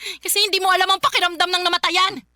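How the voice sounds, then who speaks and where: An actress with a Filipino accent playing a stubborn, wounded young widow who is grieving, in an emotional radio drama style. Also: female voice, very high voice, little pitch movement, fast, bright, forceful tone, dry recording, full character voice. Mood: defensive, hurt. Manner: emotional, pleading, defensive.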